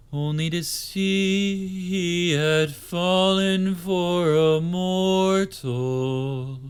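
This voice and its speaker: A man softly singing a slow, solemn lullaby that tells a story like a ballad. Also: on the high side, clear, resonant tone.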